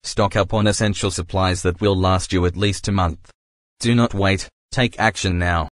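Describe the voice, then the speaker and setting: A man's voice: deep voice, steady tone, normal speed. A man giving a scripted emergency broadcast announcement in a formal, impersonal, synthetic-sounding way.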